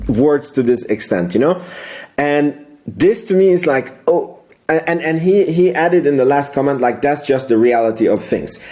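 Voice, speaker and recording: A man's voice: mid-range voice, a little mellow. A man hosting a casual financial commentary recap, rambling as he thinks aloud and repeating words for emphasis. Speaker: video host, amateur investor commentator.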